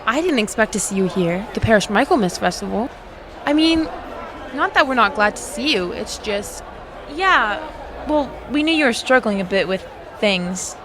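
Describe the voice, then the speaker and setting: A woman's voice: medium pitch, expressive, moderate pace, natural voice. A female performer playing a friendly, awkward young girl in an audio drama, hesitant and backtracking as she talks. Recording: busy crowd noise around voice.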